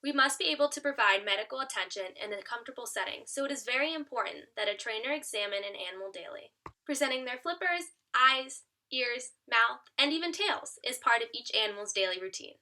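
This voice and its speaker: A woman's voice: on the high side, lively intonation, a little fast, pushed and bright. A young adult woman giving a scripted educational show presentation, speaking as an upbeat presenter to an audience. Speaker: student giving an audition presentation.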